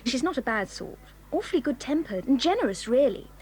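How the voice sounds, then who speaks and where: A jolly, frank English schoolgirl in a radio play. The voice is high and lively, with a mocking edge, over faint background noise. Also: a little fast. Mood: disapproving. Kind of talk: children's audio drama acting.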